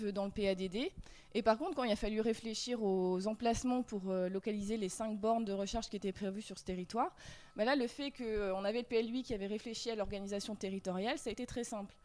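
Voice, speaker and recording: A woman's voice: mid-range voice, a little mellow. A woman giving a workshop presentation on slides, hesitant with many fillers and restarts.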